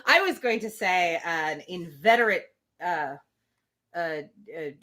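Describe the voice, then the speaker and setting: A woman reads a classic novel aloud as a livestream host. Her pitch moves in an animated way, and she slips easily between the written prose and playful, conversational asides. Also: takes it slowly. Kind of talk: live read-aloud with casual asides and commentary.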